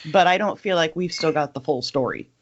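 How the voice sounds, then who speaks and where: A man's voice: on the high side, brisk. A man hosting a podcast, speaking conversationally or reading aloud. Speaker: podcast host. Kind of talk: casual discussion, some read-out synopsis. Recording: muffled, dull audio.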